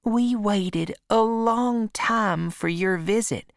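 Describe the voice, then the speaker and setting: A woman's voice: medium pitch, slow pace, dry recording, clean studio sound, voice pushed up. A woman voicing a fussy, emotional middle-aged aunt who gushes and chatters. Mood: eager. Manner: gushing, chattering.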